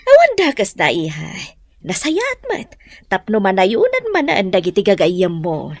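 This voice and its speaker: A woman's voice: medium pitch, huge pitch swings, unhurried, no echo, heavily acted. A woman playing an effusive, approving mother in an audio drama, gushing and encouraging with an Ilocano accent. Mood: delighted.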